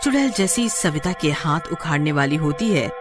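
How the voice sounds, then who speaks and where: A woman's voice: low voice, lively intonation, normal speed. A woman narrating a scripted horror story as a dramatic storyteller. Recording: music playing behind the voice.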